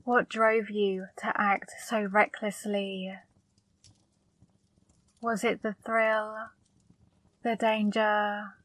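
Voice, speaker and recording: A woman plays a flirty female demon in an intimate ASMR roleplay, speaking extremely slowly and close to the mic. Her delivery is even in pitch, coaxing and softly commanding, with a fond, possessive edge. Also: no room sound. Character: flirty female demon, seductive, controlling, fondly scolding. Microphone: close microphone.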